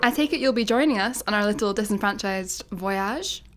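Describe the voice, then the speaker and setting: A woman's voice: medium pitch, moderate pace, fairly bright tone, close to an everyday voice. A woman with a Scottish accent playing a blunt, guarded young adventurer with dry sarcasm in a sci-fi comedy radio play. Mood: dry, breezy. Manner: casual, dry.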